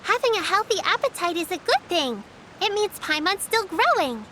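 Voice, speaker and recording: A woman voicing a well-mannered, caring little girl in a video game, earnest, formal and gently instructive. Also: very high voice, big pitch swings, moderate pace, slight background noise, exaggerated voice. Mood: kind, hospitable.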